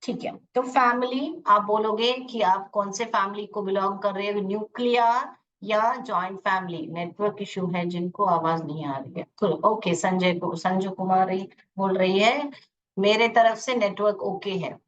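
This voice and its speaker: A woman's voice: mid-range voice, a little slow. A woman with an Indian accent teaching a live online English lesson, explaining with examples and checking understanding with short tag questions. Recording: dull, distant-sounding online class audio.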